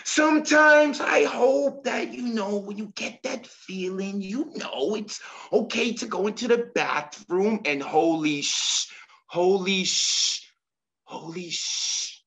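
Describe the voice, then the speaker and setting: A man voicing an earnest middle-aged mother awkwardly lecturing her teenage son, halting and trailing off. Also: medium pitch, lively intonation, pitched above the performer's own voice.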